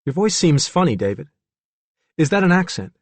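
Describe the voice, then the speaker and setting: A man voicing a gentle, probing woman interviewer, patient and reassuring, in an audiobook story. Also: on the high side, sing-song, normal speed, dry recording, pitched above the performer's own voice. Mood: puzzled.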